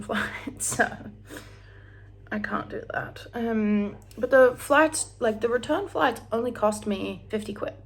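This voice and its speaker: Chatty Australian woman with a gravelly edge. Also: medium pitch. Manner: chatty, rambling, sings snatches of songs, drops into whispers. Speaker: beauty vlogger. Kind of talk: casual get-ready-with-me chat.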